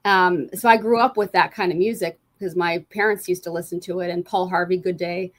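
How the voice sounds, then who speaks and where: A woman from Colorado, co-hosting a casual talk show and chatting quickly about her small-town upbringing. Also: on the low side, fairly even intonation. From Colorado, USA. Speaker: co-host. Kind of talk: casual radio show chat.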